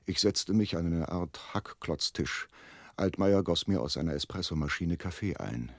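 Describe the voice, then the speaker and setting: A man's voice: deep voice, animated, normal speed, dry recording, clean studio sound. An actor playing an anxious, hesitant man who narrates his own crime story in a German radio play. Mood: measured, reflective.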